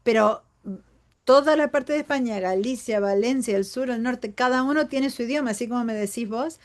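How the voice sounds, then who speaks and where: A woman's voice: a little high, moderate pace. A woman from Argentina with a Buenos Aires accent, chatting casually and laughing often. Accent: Buenos Aires Spanish. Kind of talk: casual conversation.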